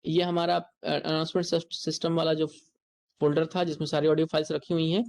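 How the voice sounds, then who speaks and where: An instructor with an Indian accent delivers a software tutorial. The explanation moves step by step in a mid-pitched voice, with key points repeated along the way. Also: level tone, brisk, creaky.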